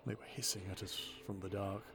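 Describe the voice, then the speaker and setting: Man acting a stern male military commander, audio drama, low pitch, terse, commanding, hardened veteran. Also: flat delivery, brisk, fairly bright tone, slight background noise. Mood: grave.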